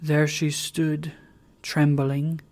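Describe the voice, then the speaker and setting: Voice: mid-range voice, level tone. Reader playing a dazed, brooding ruined man. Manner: slow, brooding, louder than narration. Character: dazed, drowsy dissipated man.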